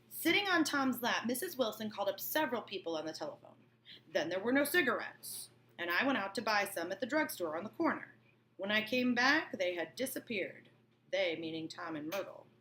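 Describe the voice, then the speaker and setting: A woman's voice: medium pitch, a bit bright, slight background noise. A woman teacher reading a novel aloud and breaking off for informal, colloquial explanations, often checking that listeners follow. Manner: colloquial, checks understanding with okay and right.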